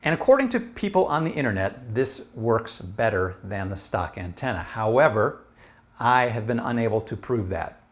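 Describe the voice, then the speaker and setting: Man, middle-aged, American accent, slightly deep voice, casual product review, explanatory, lapel mic. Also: sing-song, moderate pace. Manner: conversational, explanatory. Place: small room at home.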